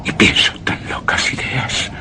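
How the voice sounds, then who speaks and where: An actor playing a terrified, anguished widower in a gothic horror radio drama, speaking theatrically in halting, repeated phrases. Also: male voice, background noise, lightly acted. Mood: feverish wonder. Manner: halting, theatrical, repeats words, breaks into hushed gasps. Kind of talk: Spanish-language horror radio drama monologue.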